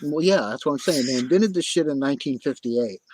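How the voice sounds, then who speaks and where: A man rants profanely on a livestream, repeating himself as he hammers his points home. His voice sits at an ordinary pitch. The sound is dry and close. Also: expressive, brisk, darkish tone, slightly rough, dry recording, rough recording. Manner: profane, ranting, repetitive. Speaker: livestream host. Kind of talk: casual livestream chat.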